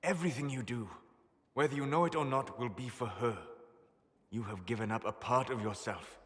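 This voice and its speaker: A man voicing a calm, learned male elf mage who speaks in a measured, somber, lecturing way. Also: medium pitch, long reverb tail, lightly acted. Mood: grave.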